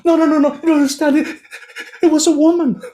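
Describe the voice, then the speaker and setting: A man's voice: very high voice, fairly quick, darkish tone, pitched above the performer's own voice. A man voicing a kind merchant who is terrified, pleading and stammering. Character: panicked kind male merchant, high and frantic.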